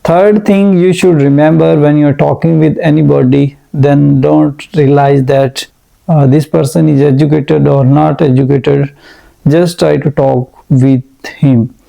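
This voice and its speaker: Slow gravelly man teaching. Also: a little high, fairly even intonation, mellow, poor audio. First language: Urdu. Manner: slow, hesitant, many fillers. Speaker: English teacher. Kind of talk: motivational English-speaking lesson.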